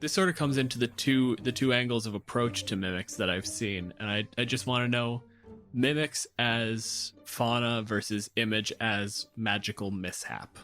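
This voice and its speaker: A man's voice: medium pitch, normal speed, a bit bright, soft background music. A man on a discussion podcast, explaining and analysing in a casual, conversational style. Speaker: podcast panelist.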